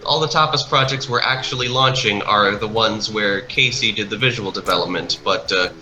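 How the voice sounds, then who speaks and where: A man chatting casually in a rambling, halting way, like someone producing an animation project. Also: lowish voice, sharp tone, rough recording. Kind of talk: casual chat over a speedpaint. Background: music.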